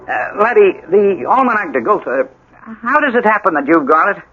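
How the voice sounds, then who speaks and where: An actor playing an agitated, suspicious small-town husband in an old-time radio drama, stammering and insisting. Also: male voice, high voice, big pitch swings, moderate pace. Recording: muffled old radio recording, music behind.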